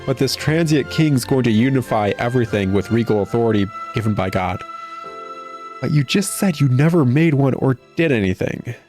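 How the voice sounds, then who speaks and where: A man's voice: mid-range voice, animated, normal speed, a little mellow, soft background music. A man giving a casual, blunt, sarcastic and exasperated critique while commenting on a video game. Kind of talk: casual game critique commentary, reading cutscene dialogue aloud.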